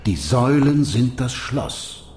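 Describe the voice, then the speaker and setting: An actor playing an ancient, noble and wise vampire mentor in a video game, speaking in a solemn, measured, sorrowful way. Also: male voice, mid-range voice, lively intonation, darkish tone, echoey room, a little hiss or hum. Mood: solemn, earnest. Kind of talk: dramatic scripted game dialogue.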